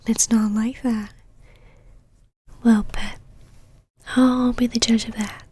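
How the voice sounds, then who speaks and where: A woman acting as an imperious vampire knight, a lady who whispers in a breathy, fairly high voice. She is possessive and controlling, teasing her listener with condescending pet names and commands in an intimate ASMR roleplay. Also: little pitch movement, slow pace, warm tone. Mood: jealous, commanding.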